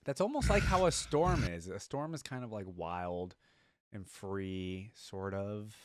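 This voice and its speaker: A man's voice: fairly low pitch, lively intonation, unhurried, no room sound. A man giving casual, off-the-cuff reaction commentary as a video host, musing and thinking aloud.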